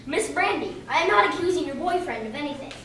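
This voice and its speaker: A woman's voice: sits high, animated, quick pace, pushed and bright, full character voice. A woman playing a polite, methodical male detective in an amateur radio play. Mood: inquiring. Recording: very echoey room, distant mic, faint hiss.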